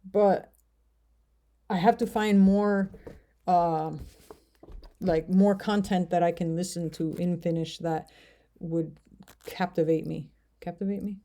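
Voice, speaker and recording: A woman's voice: fairly low pitch, steady tone, slow, long pauses, dark tone. An American woman vlogging casually, talking in a rambling, hesitant way with many fillers. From United States. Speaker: language learner vlogger. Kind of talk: casual talk to camera.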